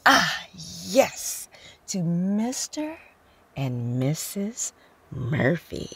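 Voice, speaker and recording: A woman playing a teasing, good-natured newlywed bride in a radio drama, with a husky, creaky voice. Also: on the low side, sing-song, drawn-out words, a bit bright, exaggerated voice. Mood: underwhelmed.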